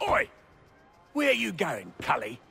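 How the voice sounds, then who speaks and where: Creaky, belligerent taunting tough. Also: male voice, high-pitched, huge pitch swings, takes it slowly, over music, exaggerated voice. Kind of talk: dramatic video game acting.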